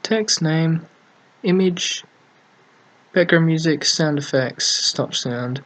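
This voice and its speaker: A man casually hosting a software tutorial, thinking aloud in an offhand way as he works at his computer. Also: fairly high pitch, takes it slowly. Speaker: tutorial host. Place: at a computer desk, screen recording.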